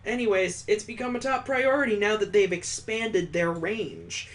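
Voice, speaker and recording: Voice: medium pitch, lively intonation, normal speed, lightly acted. A let's-player acts out a weary detective father from a visual novel. He is grave and stern, with a condescending edge toward his son. The voice stays in a middle register. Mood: grave, reassuring.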